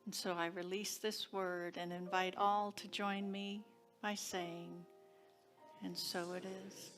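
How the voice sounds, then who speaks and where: A woman's voice: medium pitch, flat delivery, slow, fairly bright tone, faint music behind. A woman leading a church service, speaking to the congregation in prayer and announcements.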